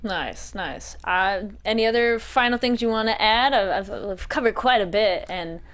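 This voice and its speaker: A woman's voice: medium pitch, normal speed, a bit bright, clean tone. A woman hosting an interview, asking questions in a friendly, chatty way and backing the guest up with small agreements. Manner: friendly, chatty, laughs often, backchannels with mm-hmm. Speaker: interview host.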